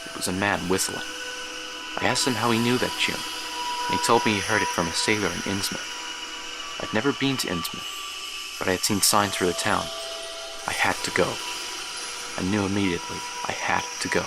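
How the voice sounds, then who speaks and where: A gravelly brooding scholar in a horror audio drama, haunted and obsessive, noisy droning background. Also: male voice, sits low. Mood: urgent wonder. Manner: brooding, measured, literary phrasing. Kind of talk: dramatic first-person horror monologue.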